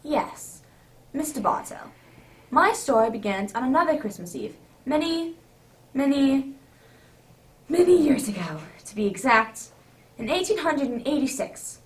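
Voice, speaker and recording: A woman acts out a genial older English gentleman in a radio drama, avuncular and easily flustered. The voice is high and very animated, full of old-fashioned exclamations. It is picked up from a little distance. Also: measured pace, long pauses. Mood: storytelling. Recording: distant microphone.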